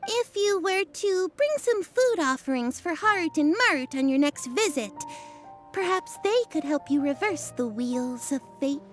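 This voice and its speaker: Earnest high-voiced young fortune-teller. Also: female voice, lively intonation, measured pace, faint music behind. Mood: awkward, earnest. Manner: formal, theatrical wording. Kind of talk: video game voice acting.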